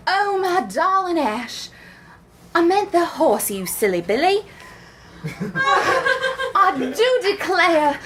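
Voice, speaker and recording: A melodramatic belle speaks in an extremely high, swooping voice. She is genteel, theatrical and bawdy, reading comic love letters on a stage with some background noise. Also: exaggerated voice. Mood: doting, forgiving. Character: melodramatic, prim yet bawdy Southern belle wife.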